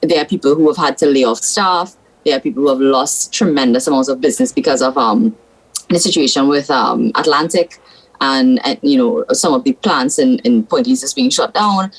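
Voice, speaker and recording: Blunt Trinidadian woman senator, low voice, talk-show guest. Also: normal speed, breathy edge, low-quality recording. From South Trinidad. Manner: blunt, frank opinions. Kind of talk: political talk show discussion.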